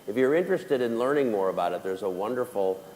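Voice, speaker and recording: A man moderating a conference panel, speaking haltingly with frequent "uh"s. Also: lowish voice, animated, a little mellow, a little hiss or hum, poor audio. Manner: halting, with many "uh"s. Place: conference hall.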